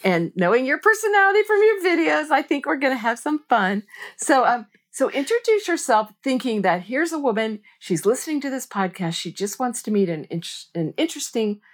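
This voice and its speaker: A woman's voice: high voice, big pitch swings, brisk. A middle-aged woman from the United States hosting a podcast interview, chatty and enthusiastic. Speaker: podcast host. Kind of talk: casual interview chat.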